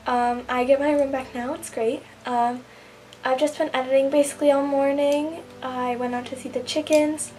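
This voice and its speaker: A woman's voice: high voice, steady tone, moderate pace, darkish tone, slight background noise. A teenage girl vlogging casually from home, chatty, with lots of ums and likes. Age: teens. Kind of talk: casual vlog diary.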